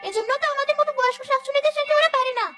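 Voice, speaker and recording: A woman voicing a greedy, sneering older female ghost in a cartoon, with a shrill, mocking manner. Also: rapid-fire, over music. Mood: scheming. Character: greedy, sneering older she-ghost who craves human babies. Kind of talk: Bengali cartoon voice acting.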